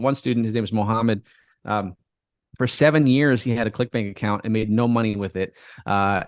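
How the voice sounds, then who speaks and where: A man talking casually in an interview, relaxed and rambling, with many fillers and restarts. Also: medium pitch, dry recording. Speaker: guest, affiliate marketer.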